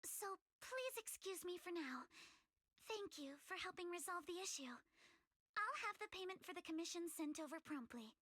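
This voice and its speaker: A woman's voice: extremely high pitch, lively intonation, moderate pace, pushed and bright, dry recording, clean studio sound, heavily acted. An actress playing a proud, proper young noblewoman scholar in a video game, formal and precise. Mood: prim, dignified. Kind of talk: English video game voice acting.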